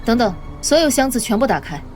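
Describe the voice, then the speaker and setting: A young resistance captain in an apocalypse animation. She speaks quickly and firmly, alert and no-nonsense, with music behind her. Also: a little high, big pitch swings, a little mellow. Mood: suspicious, firm. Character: resolute, wary young woman leading resistance squad. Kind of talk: dramatic dubbed animated-comic dialogue.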